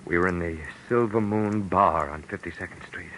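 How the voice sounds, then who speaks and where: An actor playing a frightened young husband suspected of murder, in 1940s radio drama style, stammering and pleading. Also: male voice, deep voice, normal speed, fairly bright tone, slight background noise, low-quality recording. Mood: tense.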